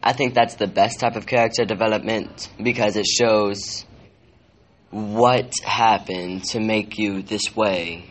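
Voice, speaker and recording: Young man with a low, deep voice. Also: fairly even intonation. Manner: slow, halting, jokey. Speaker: podcast co-host. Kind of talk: casual chat among friends. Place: library room.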